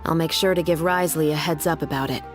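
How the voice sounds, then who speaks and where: A woman acting a resolute young female officer of the law in a game cutscene. Her voice sits fairly low and rings with long reverb over music, every sentence measured, earnest and formal. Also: steady tone, lightly acted. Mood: calm, thoughtful. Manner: earnest, deliberate, formal speech.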